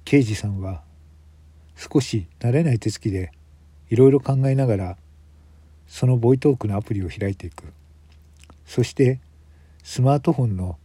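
A man's voice: mid-range voice, mellow, breathy edge, no room sound. A man narrating a radio drama in the first person, as a storyteller.

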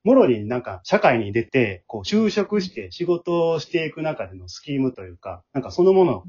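A man with a Kansai accent hosting a casual podcast chat, explaining things in a softened, conversational way. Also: mid-range voice, big pitch swings, moderate pace, husky, lo-fi sound. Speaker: amateur theatre director and podcast host.

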